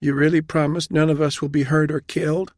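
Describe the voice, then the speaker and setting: Man voicing a frightened young woman captain, high and trembling. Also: level tone, brisk, no echo, studio quality, natural voice. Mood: fearful, tearful. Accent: New Jersey. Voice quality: trembling, soft. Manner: hesitant, deferential. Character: frightened young female army captain, mid-twenties, meek and tearful.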